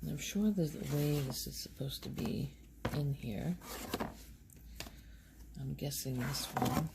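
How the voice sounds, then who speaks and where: A woman hosting a soft-spoken ASMR video, talking casually in a gentle, hushed and unhurried manner. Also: rumbling low, creaky, heavy background noise. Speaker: host of a relaxation video channel.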